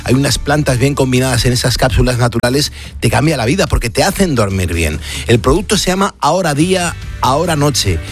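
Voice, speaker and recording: A man hosts late-night radio, rambling in a friendly, loose way with plenty of fillers and false starts. His pitch sits in an ordinary middle range, and soft music plays under him. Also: expressive, brisk. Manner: chatty, hesitant fillers and restarts. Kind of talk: casual on-air chat and live ad read. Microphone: studio broadcast mic.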